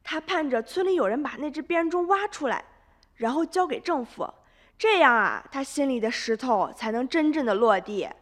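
An earnest young granddaughter, high and lively as she reasons things through. Her voice carries a long reverb. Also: moderate pace. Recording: reverberant radio drama.

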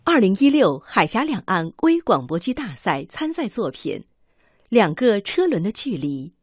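A woman announcing a radio drama in a slow, formal way. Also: mid-range voice, big pitch swings, breathy edge, dry recording, clean studio sound. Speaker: program announcer.

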